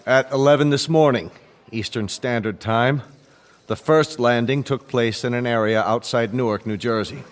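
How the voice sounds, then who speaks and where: An actor playing a formal, grave Secretary General addressing an assembly in measured, oratorical radio drama style. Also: male voice, medium pitch, lightly acted. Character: formal UN Secretary General making grave announcement, growing flustered.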